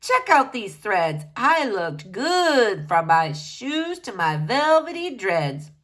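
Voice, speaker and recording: A woman's voice: medium pitch, very animated, takes it slowly, fairly bright tone, exaggerated voice. A woman voicing a laid-back hippie shaman man who drawls slang in a storybook read-aloud. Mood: proud, emphatic. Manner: drawling slang, calling listeners dude.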